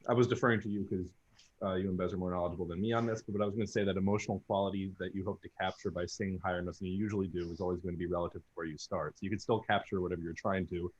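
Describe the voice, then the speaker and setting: A man chatting quickly and a bit self-deprecatingly in a casual group conversation. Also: deep voice, husky, dull audio. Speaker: participant.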